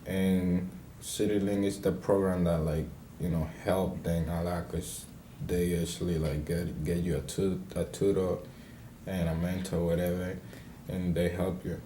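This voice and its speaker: A young man from the Dominican Republic introducing himself on video, halting with many fillers and restarts. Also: sits low, flat delivery, dark tone, roomy sound, slight background noise. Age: young adult. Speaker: graduating senior.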